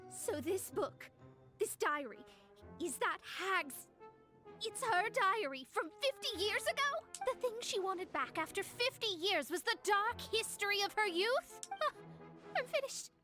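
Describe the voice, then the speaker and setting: A woman's voice: very high-pitched, sing-song, measured pace, bright, forceful tone, exaggerated voice. A woman voicing a proud, dramatic young astrologer in a video game, theatrical and easily exasperated. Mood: dismayed. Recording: game audio with music behind.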